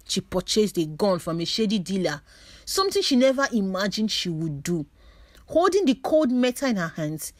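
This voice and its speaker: A woman's voice: mid-range voice, no echo. A woman reading a scripted dramatic story as a storyteller.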